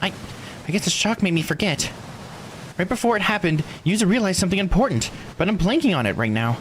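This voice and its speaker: A man voicing an excitable, frightened young woman in a video game, startled and questioning. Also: high voice, quick pace, slight background noise, voice pushed up. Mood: shaken. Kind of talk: video game dialogue read aloud.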